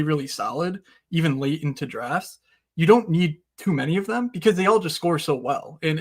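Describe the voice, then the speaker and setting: A man in a casual podcast chat explains strategy eagerly, with plenty of "uh" and "you know." His voice sits slightly high. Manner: fast, enthusiastic, explanatory, many fillers. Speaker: recurring guest analyst.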